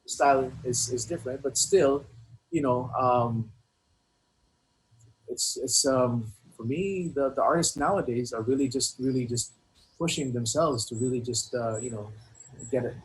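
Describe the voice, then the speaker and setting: A man speaking casually as a music interview guest, in halting, repetitive phrases with many filler words. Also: mid-range voice, low-quality recording. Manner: halting, repetitive phrasing with frequent "you know". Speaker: guest hip hop artist.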